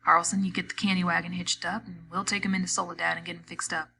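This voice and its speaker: A steady, authoritative ranch hand speaks bluntly and takes command, as a woman reader gives him voice. Faint noise lies behind the lowish voice. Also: fast talker, gravelly. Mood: firm. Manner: firm, blunt.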